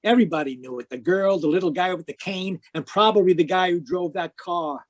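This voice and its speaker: A man's voice: high voice, a little fast, no echo. Man playing a wisecracking noir detective, lightish voice. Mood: wry, brooding. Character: wisecracking, world-weary hardboiled male private detective. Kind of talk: 1940s radio noir acting, first-person narration. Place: home room, live video call performance.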